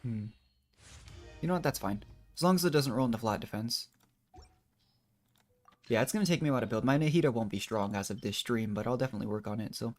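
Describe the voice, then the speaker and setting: Man, medium-pitched voice, casual game streamer, rambling commentary, thinking aloud. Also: quick pace, pauses often, a little mellow, faint music behind.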